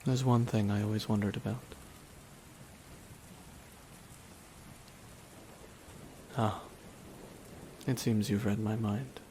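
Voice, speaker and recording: A man playing a brooding, remorseless villain in a dramatic ASMR roleplay, speaking in a hushed, deliberate way with long pauses. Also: lowish voice, lightly acted. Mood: curious, somber. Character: brooding, remorseless male villain at his dying nemesis's side. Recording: steady rain noise behind the voice. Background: rain falling on a surface.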